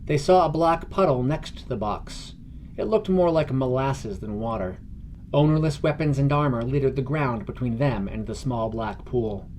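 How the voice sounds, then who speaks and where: A man's voice: mid-range voice, normal speed, darkish tone, a little hiss or hum. A man reading scripted narration for a fantasy adventure podcast.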